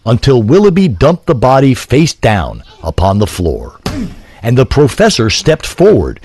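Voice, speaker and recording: A man's voice: mid-range voice, wildly swooping pitch, a little slow, darkish tone. A man narrating an audio drama in a grandiose, literary, theatrical style. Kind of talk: theatrical scripted story narration.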